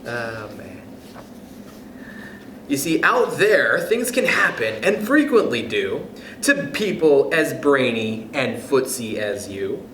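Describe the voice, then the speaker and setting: Animated man reading a children's book. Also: mid-range voice, takes it slowly, reverberant, slight background noise, rough recording. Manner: gentle, animated read-aloud with soft chuckles and asides. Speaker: youth club storyteller.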